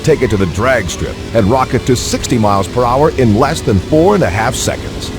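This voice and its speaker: A man's voice: mid-range voice, lively intonation, brisk, over music. A man narrating a scripted car showcase for a racing game in a polished, promotional announcer style.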